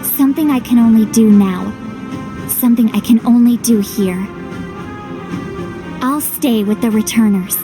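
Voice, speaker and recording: An actress playing an earnest, determined young heroine in a video game cutscene, speaking emphatically over music. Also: female voice, on the high side, a little slow, long pauses, natural voice. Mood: reflective. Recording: loud music behind.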